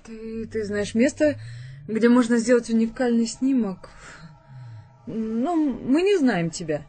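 A woman's voice: on the high side, expressive, slow pace, warm tone, breathy edge, full character voice. An actress playing a wary, proud woman street photographer in a radio drama, guarded and insistent. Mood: suspicious. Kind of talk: Russian radio drama acting.

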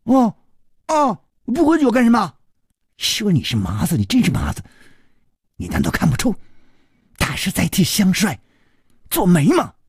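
Man putting on a cheeky high-pitched street boy. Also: wildly swooping pitch, normal speed, pauses often, slightly rough, dry recording, studio quality, heavily acted. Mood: scornful. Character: cheeky half-grown street boy, mocking and curious.

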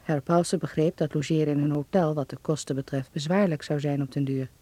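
A man's voice: on the high side, steady tone, a little fast, mellow, dry recording, close to an everyday voice. An actor playing a man who chattily reads out his letter home in a radio drama. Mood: light, confiding. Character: letter writer reporting chattily home from Berlin.

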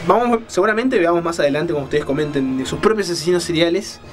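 A man's voice: on the high side, expressive, fast talker, soft background music. A man hosting a radio show, giving conversational, explanatory commentary on books and films and often checking in with little question tags. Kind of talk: conversational book and film commentary.

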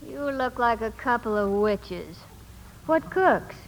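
High-voiced, brassy sarcastic dame. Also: female voice, expressive, slow pace. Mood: irritated, sarcastic. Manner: slangy, sarcastic. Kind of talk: 1940s radio acting. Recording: muffled, reverberant 1940s radio recording.

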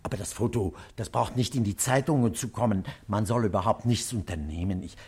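An actor playing an anxious, ailing middle-aged father in a German radio play, hesitant, evasive and apologetic, with a husky, breathy voice. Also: on the low side, fairly quick, a little mellow. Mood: anxious, pleading.

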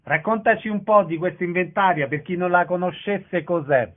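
A man's voice: high-pitched, moderate pace, narrow, tinny audio. A man hosting a radio interview, asking questions and announcing details. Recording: radio broadcast sound.

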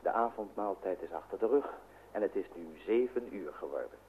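An actor playing a formal narrator, addressing listeners in an old radio drama. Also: male voice, sits low, animated, fairly quick. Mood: measured. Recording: tinny, noisy old radio recording.

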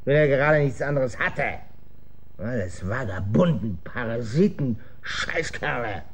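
An actor playing a hungover, bitter, cynical gentleman with a sardonic and weary manner in a German radio drama. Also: mid-range voice, animated, unhurried, breathy edge. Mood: bitter, irritated.

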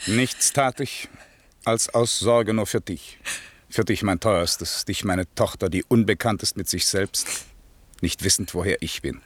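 An actor in a German radio play, playing a grave magician father who declaims in a solemn, measured way. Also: on the low side, dry recording. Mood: solemn, soothing. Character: grave, commanding magician father, an exiled duke.

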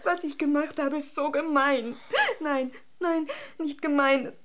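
A woman's voice: high-pitched, fairly even intonation, a little slow, a bit bright. An actress playing a guilt-ridden young married woman in a radio drama, theatrical and full of self-reproach. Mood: anguished, self-loathing. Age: young adult. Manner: theatrical, overwrought.

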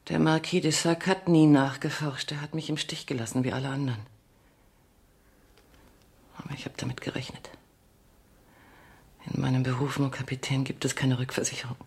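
A world-weary, proud female spy facing death in a radio play. Her voice is very low and gravelly, with breathy murmurs and a deliberate, seductive theatricality. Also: flat delivery, darkish tone, exaggerated voice. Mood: wistful, resigned. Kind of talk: German radio play acting.

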